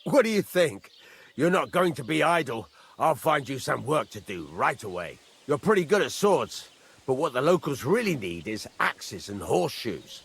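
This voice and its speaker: Gruff master smith for a medieval game: demanding, instructive, forceful and highly animated, over music. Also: male voice, moderate pace, heavily acted. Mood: blunt, brisk. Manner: instructive, blunt, swears mildly. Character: gruff, demanding village master blacksmith teaching a newcomer. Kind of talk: video game dialogue acting.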